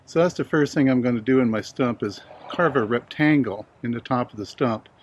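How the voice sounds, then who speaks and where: A man's voice: mid-range voice, a little fast, lo-fi sound, a little hiss or hum. Easygoing older man chatting casually. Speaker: hobbyist video host. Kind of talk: casual chat to camera.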